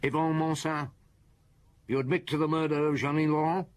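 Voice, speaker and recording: An actor playing a weary, relentless middle-aged chief inspector in a radio detective drama, speaking formally and deliberately. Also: male voice, fairly high pitch, level tone, natural voice. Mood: probing, accusing.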